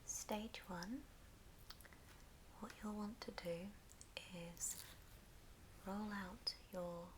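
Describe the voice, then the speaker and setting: A woman hosts an ASMR craft tutorial in a soft, breathy whisper. She goes very slowly and gently, step by step, in a slightly low pitch. The recording has clear background noise with small clicks. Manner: unhurried, gentle step-by-step delivery. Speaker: host of an ASMR craft channel. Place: indoors at a friend's house. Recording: clearly noisy room, clicking sounds.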